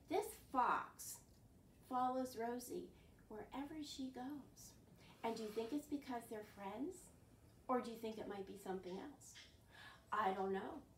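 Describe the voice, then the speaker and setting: An older woman with a high-pitched voice talks to small children as a storytime host. Her delivery is slow, animated and clearly enunciated. She is recorded at home from a few feet away, with a roomy, distant sound. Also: a little hiss or hum. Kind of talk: storytime singing and talking to young children. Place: living room at home. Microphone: camera a few feet away.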